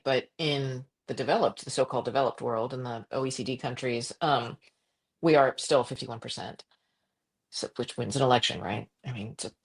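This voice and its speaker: A middle-aged woman from Croatia, a journalist guest talking passionately in an interview, with frequent restarts and quick laughs. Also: husky. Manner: animated, impassioned, jumps to high pitch for quoted voices. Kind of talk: conversational interview.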